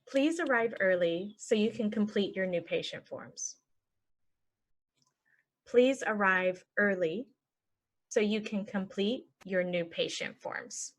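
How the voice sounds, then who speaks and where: A woman teaching English phrases, saying each one and then repeating it slowly and clearly for learners. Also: medium pitch. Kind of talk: slow phrase-by-phrase language lesson.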